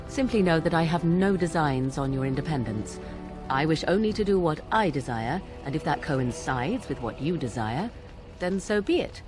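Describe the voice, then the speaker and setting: An actress voicing a sly, aloof witch woman in a video game, with arch, archaic, precise phrasing. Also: low-pitched. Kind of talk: video game dialogue acting. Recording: dramatic game music behind.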